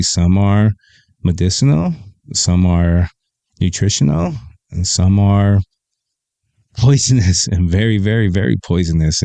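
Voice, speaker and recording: A man with a deep, bassy voice, low in pitch with a dark, mellow and smooth tone. He hosts a podcast in a casual, laid-back way, airing firm opinions. He is recorded dry and close on a desk mic. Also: no echo. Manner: laid-back, opinionated, reads aloud from a web page. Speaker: podcast host. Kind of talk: casual livestream talk.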